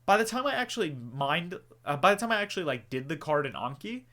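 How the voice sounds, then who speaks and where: A man speaking in a bright, forceful voice pitched a little above the usual male range. He answers viewer questions in a loose, rambling way, full of casual asides and little jokes at his own expense. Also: sing-song, fast talker. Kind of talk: casual Q&A chat to camera.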